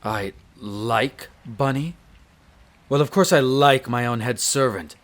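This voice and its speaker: A man acts out a proud male vampire aristocrat for an audio roleplay. He is haughty and easily flustered, with formal, imperious phrasing and a mid-pitched voice. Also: takes it slowly, lightly acted. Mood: haughty, irritated. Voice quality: haughty, clipped formal diction.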